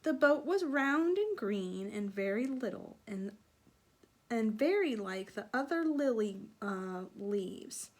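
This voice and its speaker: A woman reading a picture book aloud for children, slowly and deliberately, with sing-song emphasis. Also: on the high side, animated. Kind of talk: read-aloud children's storytelling.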